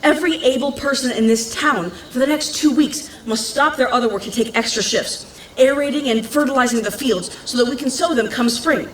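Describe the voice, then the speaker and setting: A woman's voice: high-pitched, a little fast, roomy sound, a little hiss or hum, close to an everyday voice, poor audio. An actress playing a bold, clever young townswoman in an audio drama, speaking in an earnest, persuasive, impatient manner. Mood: earnest, urgent.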